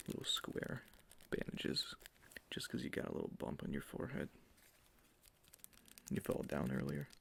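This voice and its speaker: Asmr guy whispering right into the mic, super gravelly, husky, voice rises and falls a lot. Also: a bass voice, a little hiss or hum. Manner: soft-spoken, mostly whispering. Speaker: ASMR roleplay creator. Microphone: close sensitive ASMR microphone.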